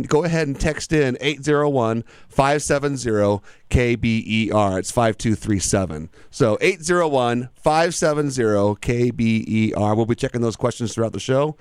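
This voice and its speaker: American guy hosting radio, casual interview chat, average pitch, close dry mic. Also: clear, resonant tone, no room sound. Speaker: radio show host. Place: radio studio. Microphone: studio microphone.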